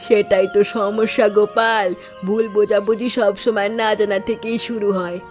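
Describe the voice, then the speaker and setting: A woman voicing a caring, worried village aunt in an animated story, speaking tenderly and in a measured, homely way. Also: medium pitch, faint music behind, close to an everyday voice. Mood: gently advising.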